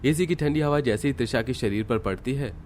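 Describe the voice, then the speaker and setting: A man giving scripted narration for an animated family story. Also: medium pitch, a little fast, a little hiss or hum.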